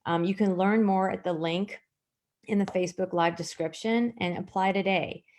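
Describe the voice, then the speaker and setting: A woman's voice: mid-range voice, fairly even intonation, moderate pace, a little mellow. A woman hosting a livestream interview over a video call, enthusiastic and with lots of filler words. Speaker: host.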